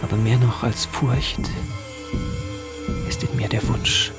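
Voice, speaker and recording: An actor playing a brooding, haunted son seeking the truth in a German radio drama, introspective and grave. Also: male voice, medium pitch, wildly swooping pitch, slow pace, over loud music.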